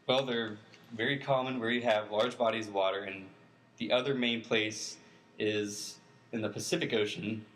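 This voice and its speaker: Male interview guest, fairly low voice, informal expert explainer, plain-spoken delivery. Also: steady tone, moderate pace, fairly bright tone.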